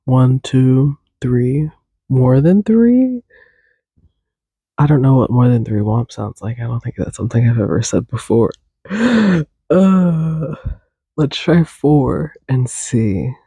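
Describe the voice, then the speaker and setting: Young guy rambling on his podcast, stuffy nose, gravelly and dark, dry close mic. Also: medium pitch, animated, slow pace, dry recording. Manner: casual, tangent-prone, hums and sings tunes. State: congested. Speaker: podcast host. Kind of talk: rambling solo podcast chat.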